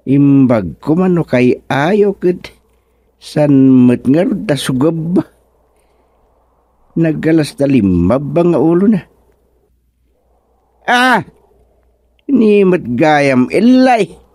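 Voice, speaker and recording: A man's voice: a little high, expressive, very slow, long pauses, a little mellow, dry recording, full character voice. An actor playing a stubborn, bewildered former village chairman in a comedic radio drama, muttering and exclaiming to himself.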